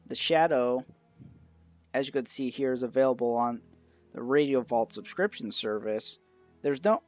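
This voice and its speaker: A man's voice: medium pitch, dry recording, highs cut off. A man narrating an informal review of a collection as its reviewer. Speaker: reviewer of an old radio show collection. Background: music.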